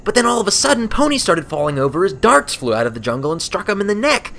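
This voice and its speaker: A man voicing a brash, tired young female pegasus adventurer in a storybook, telling her exploits in casual, animated speech. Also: high voice, fast talker, voice pushed up, heavily acted. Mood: excited, stressed. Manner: animated, colloquial. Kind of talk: storybook character voice.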